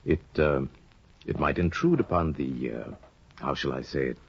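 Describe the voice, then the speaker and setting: An actor playing a sly, scheming older judge in a 1950 radio drama, insinuating and hesitant, on a dull old broadcast recording. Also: male voice, extremely low pitch, expressive, creaky, husky, heavily acted. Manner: insinuating, hesitant with drawn-out ums. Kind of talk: 1950s radio drama acting.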